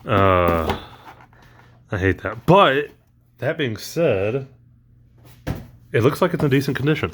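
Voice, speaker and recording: A man's voice: mid-range voice, expressive, slow pace, pauses often, a bit bright, a little hiss or hum. A man talking casually through a toy unboxing, rambling with lots of ums and uhs, his voice recently back after allergies.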